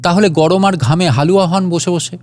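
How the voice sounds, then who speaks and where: Audio story role man acting: elderly gentleman, unflappable and wry, chatty indignation, higher pitch, faint music behind. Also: steady tone, normal speed, close to an everyday voice. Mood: sarcastic. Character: elderly, unflappable, sarcastic, talkative gentleman scientist.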